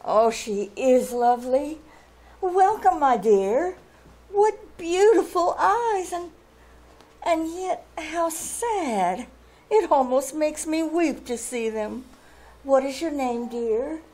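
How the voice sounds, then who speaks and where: A gracious, tender-hearted princess played by a woman in an old-time radio play. Her voice is high and she takes her words slowly. She sounds refined and theatrical, lingering on her exclamations. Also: animated, mellow. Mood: gushing, admiring. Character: gracious, kindly young princess, gushing and compassionate. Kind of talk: old-time radio drama acting, live stage performance.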